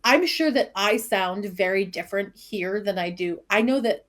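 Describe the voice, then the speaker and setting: A woman's voice: mid-range voice, normal speed, fairly bright tone. A woman from Maine with a Maine accent, a teacher co-hosting a casual chat podcast, chatty and often talking over her co-host.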